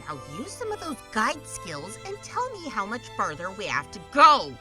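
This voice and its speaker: A woman's voice: a little high, huge pitch swings, moderate pace, music in the background. A woman voicing a bossy, foul-mouthed travel companion in a comic dub, nagging with exasperation. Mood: exasperated.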